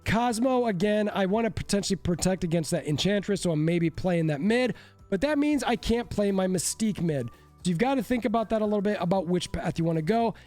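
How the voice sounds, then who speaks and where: A man's voice: high-pitched, expressive, brisk, darkish tone, faint music behind. A man with a stuffy, congested voice chatting casually and a bit self-deprecatingly as he breaks down card game decks. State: congested from a cold. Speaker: card game content creator. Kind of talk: casual deck breakdown commentary.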